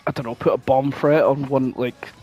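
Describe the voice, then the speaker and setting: A man chats casually as a podcast co-host, in a middling-pitched voice. He rambles and swears freely, thinking out loud in an animated way. Music plays behind him. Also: moderate pace, muffled recording. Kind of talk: casual chat.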